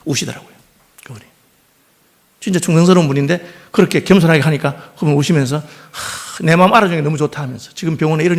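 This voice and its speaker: A middle-aged male pastor preaching a sermon in a church, speaking with a noticeable regional dialect and telling stories lively, acting out the people he quotes. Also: a little high. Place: church sanctuary.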